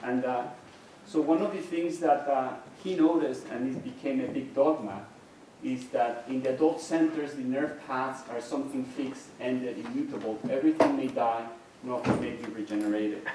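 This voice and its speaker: A middle-aged man, a native Spanish speaker, explains patiently as a lecturer, often restarting his phrases. His mid-pitched voice comes from some distance away. It rings through a very echoey hall over faint background noise. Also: moderate pace. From Mexico. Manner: explanatory, with frequent restarts and fillers. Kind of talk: university lecture.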